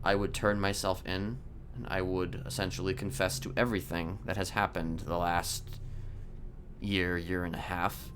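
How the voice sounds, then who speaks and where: A man in a game roleplay voicing a calm, sinister criminal manipulator who speaks haltingly and deliberately in formal words. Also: low voice, background noise, lightly acted. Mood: measured, confessional. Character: soft-spoken sinister male criminal manipulator, calmly confessing. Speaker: roleplay streamer. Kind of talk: improvised in-character game roleplay.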